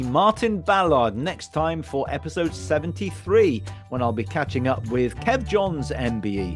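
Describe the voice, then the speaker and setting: A man presenting and interviewing as a podcast host. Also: fairly high pitch.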